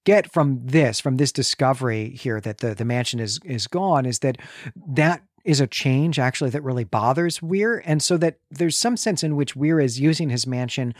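Conversational man, medium pitch, very dry recording. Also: expressive, moderate pace, no room sound. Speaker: podcast co-host. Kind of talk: casual book discussion.

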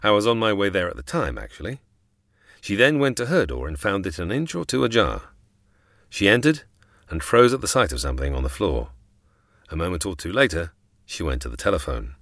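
A man's voice: low voice, very animated, fairly quick, a bit bright, dry recording, studio quality, exaggerated voice. An actor playing a wry, persistent man who narrates his own chase in a radio drama. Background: music.

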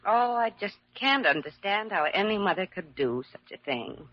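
A woman's voice: mid-range voice, very animated, moderate pace. A woman acting a fretful, easily affronted hospital assistant in a classic radio drama, plaintive and fussy. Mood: indignant. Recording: muffled old radio recording.

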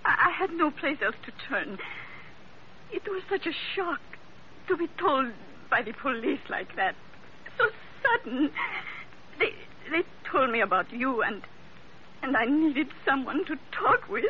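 A woman's voice: high-pitched, sing-song, measured pace. Scheming Spanish-accented woman, muffled vintage radio. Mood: mournful, pleading. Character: sultry, scheming foreign woman, outwardly grieving and frightened. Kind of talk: old-time radio drama acting. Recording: muffled, noisy old radio recording.

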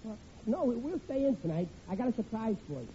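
A man's voice: sits high, sing-song, quick pace, dark tone. An actor playing a nervous, eager young man, stammering and boyish, in old radio comedy style. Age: young adult. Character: nervous, eager, lovestruck young male employee. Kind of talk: 1940s radio comedy acting. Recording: muffled, noisy vintage radio recording.

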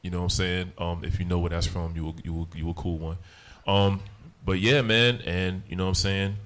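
A man with a deep voice talking casually as a rapper, peppering his talk with "you know what I'm saying.". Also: fairly even intonation, a little fast, fairly bright tone. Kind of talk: casual chat.

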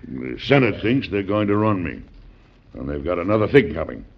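An actor playing a stubborn, combative president in an old-time radio drama, speaking in an emphatic, oratorical way. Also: male voice, low voice, sing-song, moderate pace, creaky, slightly rough, exaggerated voice. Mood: indignant. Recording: dull, lo-fi vintage radio recording.

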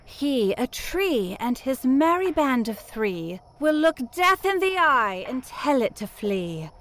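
Slow, cryptic prophecy-chanting oracle. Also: female voice, high-pitched, very animated, fairly bright tone, full character voice. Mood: portentous. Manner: chanting in rhyme, measured. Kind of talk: comic fantasy audio drama.

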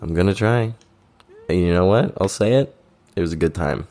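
A man's voice: low-pitched, moderate pace, darkish tone. A young man co-hosting a podcast, telling festival stories in a laid-back, joking way, his voice a bit strained. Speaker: podcast co-host. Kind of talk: casual chat.

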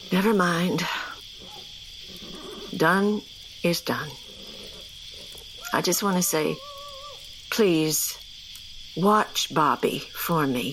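An actress playing an elderly rural great-aunt, stern, worried and superstitious, speaking in a brusque, folksy, halting way in an audio drama. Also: female voice, low voice, fairly bright tone, slight background noise.

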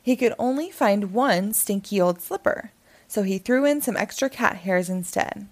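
A woman reading a children's picture book aloud in an animated storytelling style. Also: medium pitch, normal speed.

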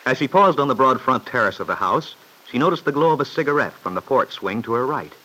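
Bright, forceful male radio-serial narrator. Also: mid-range voice, expressive, fast, poor audio. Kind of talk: scripted old-time radio soap narration.

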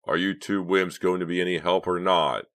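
Man doing a deep, bossy robbery ringleader. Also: normal speed, pushed and bright, dry recording, heavily acted. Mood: annoyed, curt. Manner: curt, dry, commanding. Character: tense, bossy, impatient young male robbery ringleader.

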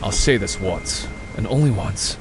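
A man playing a grim, vengeful masked vigilante in a superhero audio drama, speaking in a menacing, deliberate, clipped way. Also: fairly low pitch, expressive, noisy background. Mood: cold warning.

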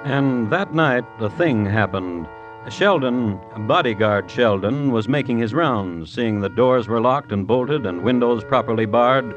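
A man's voice: mid-range voice, lively intonation, measured pace, few pauses, dull audio, over music. A man giving grave, suspenseful scripted narration for an old-time radio drama.

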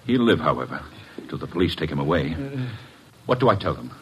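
An actor playing a suave, coolly menacing man with measured manners in an old-time American radio detective drama. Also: a bass voice, big pitch swings, background noise.

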